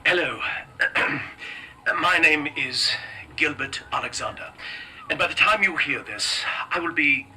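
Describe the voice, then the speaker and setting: An actor playing an educated, precise scientist recording a formal audio diary in a video game. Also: male voice, fairly high pitch, lively intonation, measured pace, pauses often, bright, forceful tone, a little hiss or hum, full character voice, poor audio. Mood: resigned, formal.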